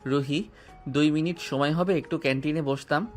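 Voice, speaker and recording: A man's voice: fairly high pitch, steady tone, moderate pace, soft background music, lightly acted. A man voicing a bold, playful young man student who keeps courting an older classmate. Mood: pleading. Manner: teasing, earnest, persistent. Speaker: voice actor. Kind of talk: audio drama acting.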